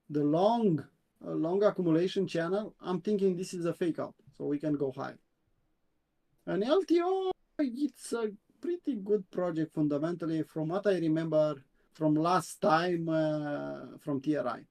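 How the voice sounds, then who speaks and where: A man from Romania with a Romanian accent, reviewing crypto charts on a live stream in a halting way, with many fillers and repeats. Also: on the high side, animated, vocal fry, a little mellow. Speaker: co-host, crypto chart analyst.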